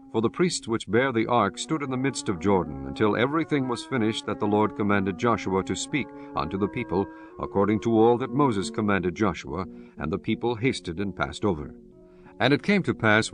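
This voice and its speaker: A man narrating scripture for an audiobook in a solemn, measured and formal reading style. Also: lowish voice, a bit bright.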